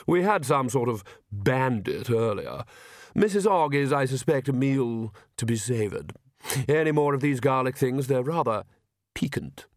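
A suave young gentleman vampire, acted by a male narrator, who speaks in a slow, courtly drawl. He is polite and condescending, a storybook villain with perfect manners. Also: medium pitch, big pitch swings, no echo, studio quality. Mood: smugly polite. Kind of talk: audiobook character voice.

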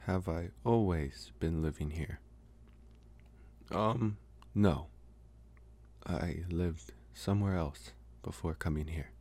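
A low-voiced, slow-talking young male vampire, acted by a man for ASMR roleplay. He is possessive but socially awkward, hesitating as he tries to sound intimidating and coming across as earnest. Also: warm tone, full character voice. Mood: reflective, open.

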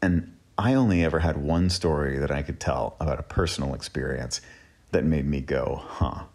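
Very deep, husky male storyteller. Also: normal speed, darkish tone. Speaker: host and storyteller. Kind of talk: casual personal storytelling.

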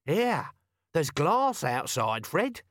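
Storybook corporal, performed by a male reader: scruffy, artless, doubting, colloquial, voice lifted above natural pitch. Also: big pitch swings, drawn-out words, a bit bright, no echo, clean studio sound, exaggerated voice. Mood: hesitant, hopeful. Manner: colloquial, naive, questioning. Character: scruffy, artless, sceptical low-ranking male police corporal.

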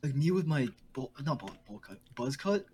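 A man's voice: medium pitch, big pitch swings, moderate pace, background noise. A young man co-hosting a casual podcast over a video call, laid-back, laughing often and saying "bro" a lot. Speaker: podcast co-host. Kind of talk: casual chat.

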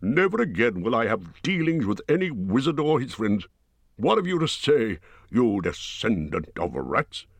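A proud, wrathful dwarf king with a deep, low voice that drags out each stern word, as a man reads him aloud. He speaks slowly and forcefully, and the sound is dry and close. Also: no echo. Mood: scornful. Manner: slow, stern, forceful delivery.

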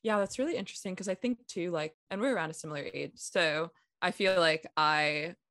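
A woman's voice: on the low side, brisk, fairly bright tone, no echo, studio quality. A woman in her twenties interviewing, chatting in a relatable way with many fillers. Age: 20s. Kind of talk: casual interview chat.

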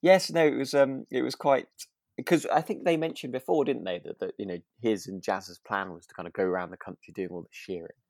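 A man's voice: fairly low pitch, fairly even intonation, brisk, darkish tone, dry recording, clean studio sound. A man co-hosting a podcast, chatting casually and agreeably about a radio drama, thinking aloud in loose sentences. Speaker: podcast co-host.

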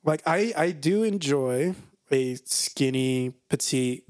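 A man's voice: medium pitch, lively intonation, takes it slowly, a little mellow, no echo. A man in his twenties co-hosting a podcast with casual, crude banter, rambling and hedging, swearing freely and close on the mic. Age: 20s. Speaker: podcast co-host.

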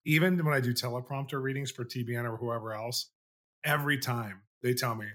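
A man hosting an interview show, reading a scripted intro and then chatting quickly and enthusiastically with guests. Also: mid-range voice, fairly bright tone. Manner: fast-talking, enthusiastic. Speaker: podcast host.

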